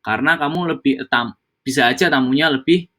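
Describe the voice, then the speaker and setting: A young man from Java walks his coworkers through guest service in a loose, conversational training session. He drifts between casual speech and English buzzwords, often pausing with fillers and restarting sentences. His voice sits at an ordinary middle pitch. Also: bright, forceful tone. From Java, Indonesia. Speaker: hospitality staff trainer. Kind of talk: internal training talk.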